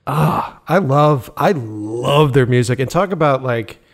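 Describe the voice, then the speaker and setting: Male podcast host, lively pitch, excitable and loose, swears, laughs a lot. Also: unhurried. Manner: enthusiastic, laughs often, swears casually. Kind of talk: casual interview chat.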